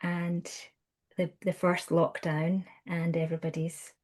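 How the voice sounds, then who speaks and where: Scottish woman, counsellor guest, lowish pitch, chatty and animated, restarts sentences. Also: unhurried. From Scotland. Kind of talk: conversational podcast interview.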